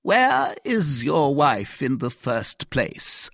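A pompous, easily flustered police commissary from an audiobook. The voice is pitched very high and swings wildly, with a husky edge. He questions imperiously and indignantly, drawing out his words. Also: sing-song, extremely slow, a bit bright, dry recording, clean studio sound, heavily acted. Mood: stern, accusing. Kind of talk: dramatized audiobook reading.

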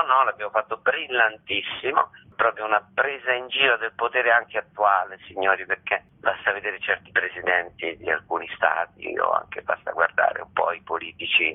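A man's voice: fairly low pitch, husky. A man speaks as a theatre director in a radio interview, chatting in a colloquial, jokey, digressive way over a phone line.

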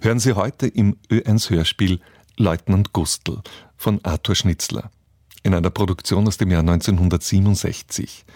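Deep-voiced radio presenter, a man. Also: moderate pace, a little mellow, dry recording, studio quality. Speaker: radio presenter introducing a radio play. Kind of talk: scripted narration, literary introduction.

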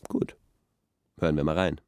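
Deep-voiced, pompous male intellectual. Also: fairly even intonation, moderate pace, a little mellow, smooth, no echo, clean studio sound. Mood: earnest, pompous. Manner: pompous, academic, earnest analysis. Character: earnest, pompous male intellectual expert on advertising. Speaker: expert guest on a radio discussion. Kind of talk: radio play acting as an interview.

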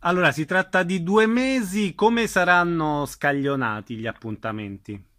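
A man's voice: on the high side, expressive, unhurried, bright, forceful tone, a little hiss or hum. A man hosting a radio interview, putting questions to his guests.

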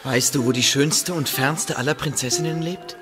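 An actor playing a weary, lovelorn traveller in a radio drama, hesitant and frail. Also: male voice, a little high, normal speed, music in the background, lightly acted. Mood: wistful.

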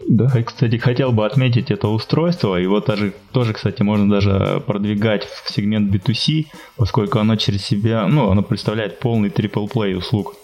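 A man's voice: fairly low pitch, fairly even intonation, brisk, few pauses, darkish tone, soft background music. A man speaking as a podcast guest, explaining a product. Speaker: guest from equipment maker.

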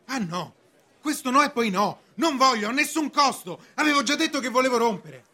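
An accused bank official in a radio play, defiant and exasperated. His voice is very high for a man and has a sharp, forceful edge. He delivers it theatrically, like someone who refuses to be pushed around. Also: animated, normal speed, heavily acted. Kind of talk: theatrical stage-style radio acting.

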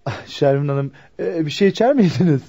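A man's voice: a little high, lively intonation, brisk, mellow, breathy edge. An actor playing a shy, polite young male cobbler who speaks hesitantly and stammers in a radio play. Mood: flustered. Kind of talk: Turkish radio theatre acting. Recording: dull lo-fi radio drama recording.

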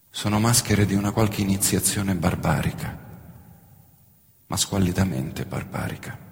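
Deep gravelly man reciting a grave monologue. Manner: measured, grave declamation with hushed drops. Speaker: stage actor. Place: theatre stage. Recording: reverberant theatre hall.

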